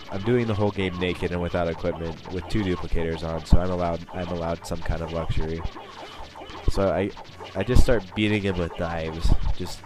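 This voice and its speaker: A young man sick with a cold, sniffling as he gives rambling, self-mocking commentary over his own gameplay. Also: low voice. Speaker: gaming channel host.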